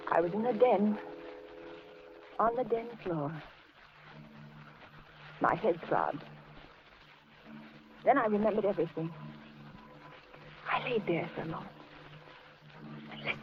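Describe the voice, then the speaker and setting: A woman's voice: mid-range voice, lively intonation, slow pace, long pauses. A woman playing a frightened, breathless old woman with an American accent, stammering in 1950s radio suspense. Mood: haunted narrating. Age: older. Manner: fearful, breathless, stammering pleading. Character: frightened, pleading old woman held captive. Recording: noisy muffled vintage radio recording.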